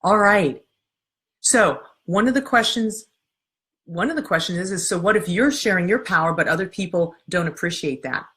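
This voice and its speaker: A woman coaching an audience live, explaining her steps calmly and earnestly. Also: on the low side, moderate pace. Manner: strong voice. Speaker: coach and workshop leader. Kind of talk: live-streamed talk answering viewer comments.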